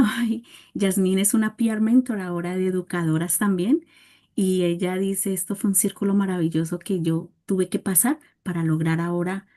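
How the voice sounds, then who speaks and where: A woman coach speaks on a panel discussion. Her voice is mellow and dark-toned, and she talks in an earnest, reflective way. She sounds like she is sharing a personal experience with people she knows. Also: lowish voice. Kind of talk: panel conversation.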